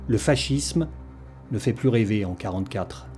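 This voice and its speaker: Guy doing a scripted history documentary voiceover, mellow voice, music playing underneath. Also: lowish voice, expressive, normal speed. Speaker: documentary narrator.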